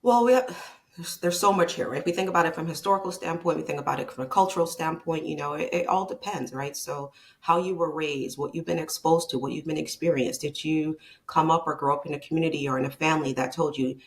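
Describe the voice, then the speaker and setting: A woman's voice: deep voice, fast talker. A woman from Barbados, a leadership consultant, talking as a guest in a conversational podcast interview, reflective and emphatic.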